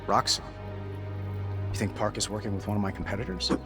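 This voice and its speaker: An actor playing a weary, guarded superhero tycoon in a video game, with a clipped, wry delivery. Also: male voice, fairly low pitch, a little fast, creaky, music in the background. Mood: impatient.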